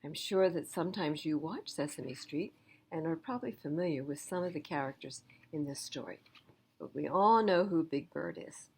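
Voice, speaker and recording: A woman storyteller reads aloud for small children, emphasizing words and turning to ask them questions. Her voice is fairly low and husky, with a very animated melody. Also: moderate pace. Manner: emphatic, addresses young listeners directly. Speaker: library storytime reader.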